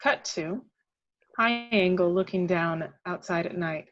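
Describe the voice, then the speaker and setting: A woman's voice: on the low side, measured pace, no echo. A woman reading dramatic action descriptions as scripted narration at a table read, on a lo-fi recording.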